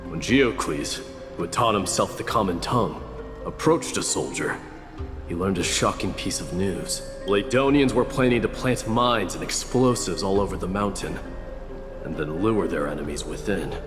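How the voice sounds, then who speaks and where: A man playing a grave, proud warrior who tells an old legend in a measured, formal way for a video game. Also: deep voice, lightly acted. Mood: solemn. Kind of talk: dramatic video game storytelling. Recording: reverberant hall, music behind.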